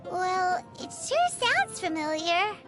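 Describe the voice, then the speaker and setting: Tiny excitable girl sidekick, extremely high squeaky voice, swooping intonation, exclamatory, video game style, music underneath. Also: slow pace, exaggerated voice. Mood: eager. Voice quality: squeaky, childlike. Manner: speaks of herself in third person, exclamatory. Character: tiny childlike floating girl companion, gluttonous, excitable. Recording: music behind the voice.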